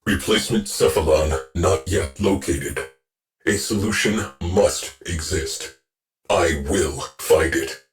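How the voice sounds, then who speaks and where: A grim, terse ship AI. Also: male voice, low-pitched, slow pace, soft background music. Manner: terse, flat clipped reports. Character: cold grim male ship AI, clipped and mournful. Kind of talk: game voice acting.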